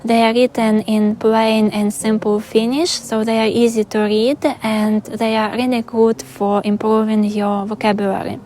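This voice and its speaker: A young adult woman from Ukraine with a Ukrainian accent, calmly and earnestly answering viewers' questions in a vlog. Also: a little high, a little hiss or hum. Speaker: vlogger. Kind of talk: Q&A vlog narration.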